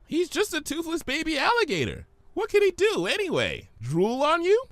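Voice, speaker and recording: A man's voice: very high voice, huge pitch swings, moderate pace, a bit bright, no echo, pitched above the performer's own voice, exaggerated voice. A man voicing a bubbly, carefree young female pony in a story, chatty and playful. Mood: excited. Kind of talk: storybook character acting.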